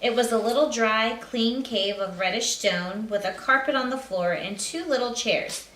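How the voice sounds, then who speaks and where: Higher-voiced woman reading in an echoey room. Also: normal speed, a bit bright. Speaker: storybook reader. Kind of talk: children's story narration read aloud. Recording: roomy echo, distant mic.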